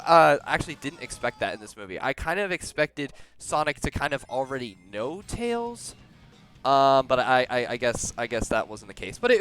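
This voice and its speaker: A young man giving a casual movie review close to the microphone, halting and often repeating words as he starts over. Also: mid-range voice, a bit bright, smooth. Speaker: video reviewer. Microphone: very close to the mouth.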